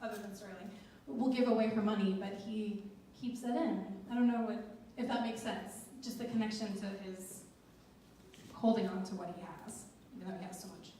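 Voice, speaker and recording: A woman's voice: medium pitch, fairly even intonation, a little fast, warm tone, a little hiss or hum. A woman moderating a post-show panel, asking questions in a hesitant way as she searches for words. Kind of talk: moderating a talkback. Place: theater stage. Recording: echoey hall from far off.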